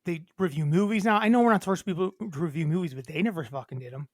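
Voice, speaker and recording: A woman co-hosting a podcast, chatting casually with crude, joking banter and a high voice. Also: fast, a little mellow, dry recording, studio quality. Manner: crude, jokey banter about football. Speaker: podcast co-host. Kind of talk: casual chat.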